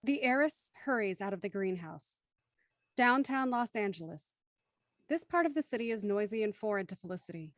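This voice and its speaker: A woman's voice: medium pitch, lively intonation, moderate pace, dry recording, clean studio sound. A woman reading scripted scene narration for an audio drama.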